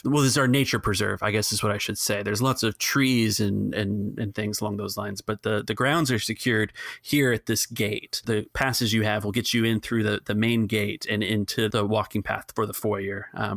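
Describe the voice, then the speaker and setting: A man running a tabletop game as game master, explaining the scene in a rambling, halting way. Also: fairly low pitch, creaky, a bit bright, no echo, studio quality.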